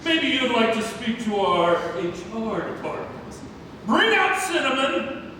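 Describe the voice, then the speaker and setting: A man's voice: very high voice, very animated, a little slow, heavily acted. A man playing an arrogant, tyrannical circus ringmaster with a pompous, bullying manner in a theatrical play read-through. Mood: outraged. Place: community theatre, large indoor room. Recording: noisy echoey hall, far from mic.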